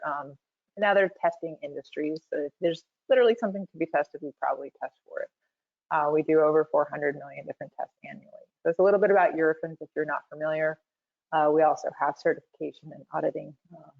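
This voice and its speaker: A hoarse woman who is losing her voice gives a loose, chatty technical webinar talk full of ums and you-knows. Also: sits low. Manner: loose and chatty, with many fillers. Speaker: webinar presenter. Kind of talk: conversational technical presentation. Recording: tinny phone-like webinar audio.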